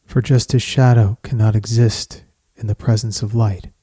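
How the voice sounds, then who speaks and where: A man leading a guided meditation in scripted poetic narration, speaking in a measured, soothing, solemn manner with long pauses. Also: lowish voice, fairly even intonation, mellow, breathy edge, dry recording.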